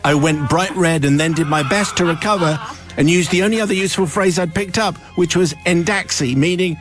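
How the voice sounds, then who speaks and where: A man's voice: on the high side, a little fast, soft background music. A man hosting a radio show, reading out a listener's letter and often laughing as he goes. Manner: reads aloud, often breaks into laughter. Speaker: radio host. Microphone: studio microphone.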